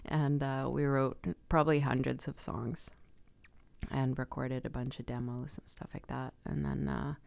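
Gravelly low-voiced Swedish-born woman. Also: measured pace, no room sound. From Sweden. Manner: relaxed, laughing, many fillers. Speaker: singer-songwriter guest. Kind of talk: casual interview chat.

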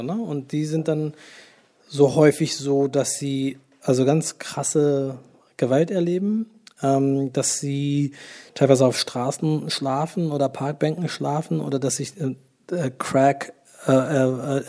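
A man's voice: a little high, warm tone. A middle-aged man, a youth worker who talks loosely in an interview, with many fillers and restarts. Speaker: youth worker running hip-hop projects. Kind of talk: conversational interview answers.

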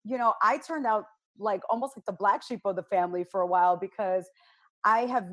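A woman from the south side of Chicago, a radio personality speaking as a panel guest in an outspoken, blunt, animated storytelling manner. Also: medium pitch, brisk. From United States, south side of Chicago. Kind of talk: candid panel discussion.

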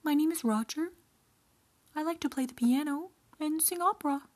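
Woman doing a high, cheeky, plump goat. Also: moderate pace, close to an everyday voice. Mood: chirpy, friendly. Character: fat middle goat, polite, cheeky, artistic.

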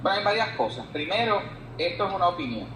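A man with a Puerto Rican Spanish accent explaining as an expert guest in an interview, haltingly repeating words as he reasons. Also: high-pitched, very animated, a bit bright. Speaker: guest expert on labor law. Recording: echoey room with some background noise.

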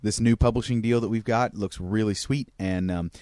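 A man's voice: on the low side, steady tone, moderate pace, warm tone, dry recording, studio quality. A man chatting and bantering casually as a podcast co-host.

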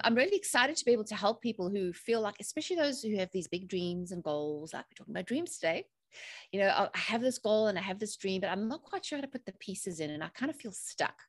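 A woman's voice: medium pitch, dry recording, clean studio sound. A woman in her forties with a South African accent, answering interview questions in a chatty, upbeat way. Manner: chatty and upbeat, rising emphatic phrases. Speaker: podcast guest, mindset coach.

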